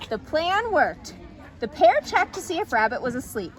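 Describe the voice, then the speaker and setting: A woman reading a children's story aloud as an expressive storytime narrator. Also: high voice, moderate pace, a faint crowd.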